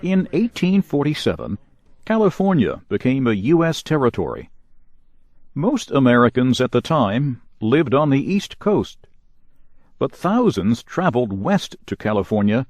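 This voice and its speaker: A man's voice: medium pitch, sing-song, darkish tone, no room sound. Guy reading the news, voice goes up and down a lot, super dry studio. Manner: measured, clearly enunciated broadcast reading. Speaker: news reader. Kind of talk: scripted news narration.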